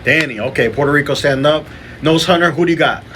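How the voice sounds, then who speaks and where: A man's voice: a little high, fast talker, background noise. A man hosting a podcast, chatting casually as he runs through fight lineups and picks. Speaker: podcast host. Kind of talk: casual boxing podcast talk.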